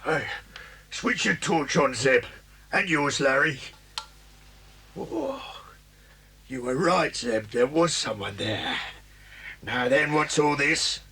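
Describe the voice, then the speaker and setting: An actor playing a gruff adult crook who leads a gang, barking orders in a menacing way. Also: male voice, mid-range voice, expressive, slow, long pauses, pushed and bright, a little hiss or hum, full character voice.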